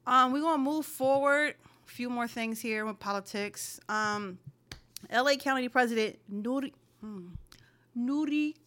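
A woman co-hosting a relaxed chat, with a fairly high and bright voice. She talks loosely and conversationally, with easy laughs between the news items. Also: unhurried. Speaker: podcast co-host. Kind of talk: casual chat about news.

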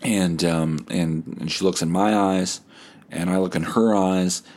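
A man's voice: low-pitched, fairly even intonation, a little slow, a little mellow. A man hosting a comedy podcast, telling a first-person story in a casual, profane way and acting out bits of dialogue.

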